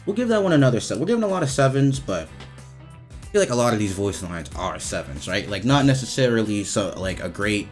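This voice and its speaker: A man giving casual, slangy, profane commentary as he rates video game voice lines, with an animated, emphatic delivery. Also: on the low side, fairly quick, faint music behind.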